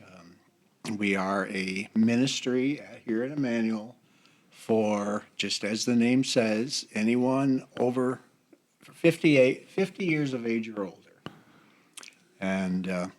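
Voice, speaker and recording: An older man with an American accent, explaining things in a halting, measured way in a casual podcast conversation. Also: medium pitch. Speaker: church seniors' group member.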